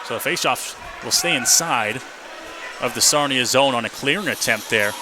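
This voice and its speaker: A man doing live radio play-by-play of a hockey game, in clipped running descriptions that can build to excited shouting. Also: mid-range voice, moderate pace. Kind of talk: live radio sports commentary. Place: indoor hockey arena. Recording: noisy live arena broadcast. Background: loud rink ambience.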